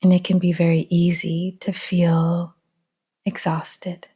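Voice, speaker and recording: A woman guiding a relaxation meditation in a soft, half-whispered, breathy voice, calm and gentle, with long pauses. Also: on the low side. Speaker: meditation guide.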